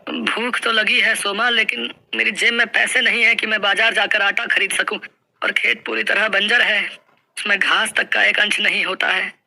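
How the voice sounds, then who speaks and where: A man's voice: high-pitched, level tone, moderate pace, gravelly, bright, forceful tone, exaggerated voice. A man playing a poor, proud village farmer, pleading and emotional in a dramatized folk story. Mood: helpless, sad.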